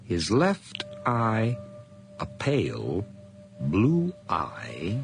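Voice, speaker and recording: An obsessive madman in a radio horror play, insisting he is sane. The low, gravelly voice is intense and erratic, halting and dropping into whispers, with wide swings of pitch. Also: huge pitch swings, exaggerated voice. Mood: brooding confession. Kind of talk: dramatic radio horror monologue and dialogue. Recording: 1980s radio drama, faint music and noise.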